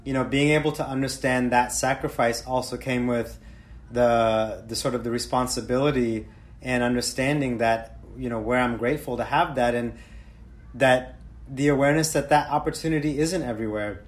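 A man's voice: mid-range voice, steady tone, moderate pace. Reflective American man with a mid-range voice. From United States. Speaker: panelist. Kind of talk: reflective personal storytelling on a panel.

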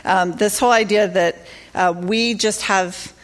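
A woman's voice: mid-range voice, steady tone, a little slow. A woman organizer giving a conversational conference talk about faith-based advocacy, with many fillers and restarts.

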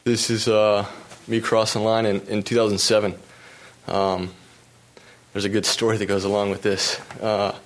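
A young man from the United States recounts his cycling races in an easygoing talk, his voice sitting a little low. He fills the pauses with ums and uhs and chuckles at his own misfortunes. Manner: relaxed, lots of fillers, laughs at himself. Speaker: pro cyclist giving a talk. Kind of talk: storytelling presentation with slides.

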